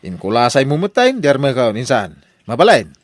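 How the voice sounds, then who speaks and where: A man's voice: medium pitch, huge pitch swings, brisk, dry recording. An actor playing a male relative who gives cautious advice in an Ilocano comedy radio drama, expressively delivered. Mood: curious.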